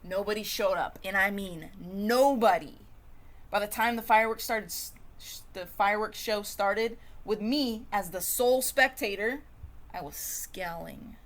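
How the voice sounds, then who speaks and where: A woman reading a children's storybook aloud in an expressive, dramatic way, with varied emphasis and pauses. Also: mid-range voice. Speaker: storybook reader.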